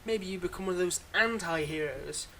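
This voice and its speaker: A man's voice: high voice, brisk, sharp tone, slight background noise. A man playing a weary, wry supervillain with a secretly kind side, talking conversationally and a little hesitantly in an intimate roleplay audio monologue. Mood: teasing, fond.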